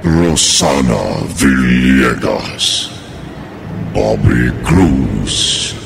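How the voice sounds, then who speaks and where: A man announcing a horror radio drama in an ominous, drawn-out, theatrical style. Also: very deep voice, wildly swooping pitch, vocal fry. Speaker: announcer and narrator. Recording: long hall reverb, music underneath.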